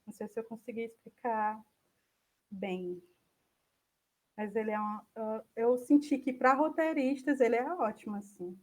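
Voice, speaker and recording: A Brazilian woman speaks in a fairly high register while leading an informal online talk. She thinks aloud with some hesitation, walking listeners through a program and fielding their questions. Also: normal speed, long pauses. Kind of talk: informal software demo, answering viewer questions.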